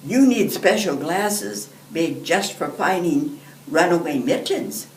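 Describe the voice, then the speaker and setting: A woman's voice: on the low side, lively intonation, takes it slowly. An elderly woman voicing a teasing, mock-puzzled older sister in a storybook. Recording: roomy, distant microphone, background hiss.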